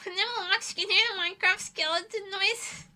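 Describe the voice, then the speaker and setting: A woman's voice: very high-pitched, fairly even intonation, a little fast, pushed and bright. A young woman livestreamer chatting casually in an informal, self-deprecating, rambling way.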